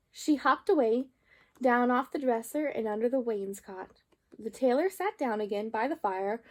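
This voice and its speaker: A woman storyteller reading a children's book aloud in an expressive narrating style. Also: a little high.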